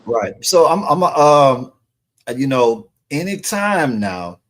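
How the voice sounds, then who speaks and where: A man's voice: mid-range voice, big pitch swings, slow. A man hosting a radio interview show, talking casually with many fillers and repeated words. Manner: warm, chatty, repeats words, lots of fillers. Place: radio station.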